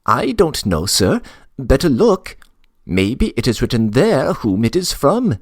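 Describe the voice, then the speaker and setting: A male reader plays an old servant woman bringing the mail, plain and matter-of-fact. He lifts his voice above his usual tone and keeps the pace slow. The recording is dry and close. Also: medium pitch, big pitch swings, no room sound, pitched above the performer's own voice, heavily acted.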